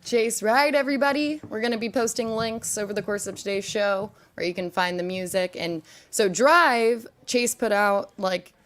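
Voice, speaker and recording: A woman's voice: mid-range voice, normal speed, few pauses. A young woman hosting a casual live-stream chat, chatty and upbeat, often saying "like". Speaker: host.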